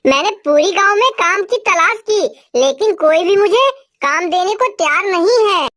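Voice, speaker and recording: A poor little orphan bird girl from a cartoon. Her voice sits extremely high and childlike. She talks in a plaintive, pleading way. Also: expressive, normal speed, fairly bright tone. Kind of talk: Hindi cartoon dubbing.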